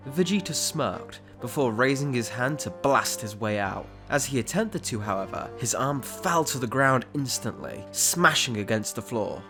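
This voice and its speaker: A man narrating a scripted dramatic story as its storyteller. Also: medium pitch. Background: background music.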